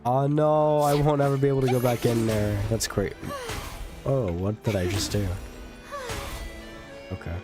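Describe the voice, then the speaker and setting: A man's voice: medium pitch, steady tone, unhurried, pauses often, music in the background. A young man giving casual, chatty commentary as he plays a video game, thinking aloud. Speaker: gaming streamer.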